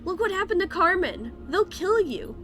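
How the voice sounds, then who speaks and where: A woman voicing a worried colonist who pleads with a friend, read quietly over game music. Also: very high-pitched, sing-song, moderate pace, voice pushed up, exaggerated voice. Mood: pleading.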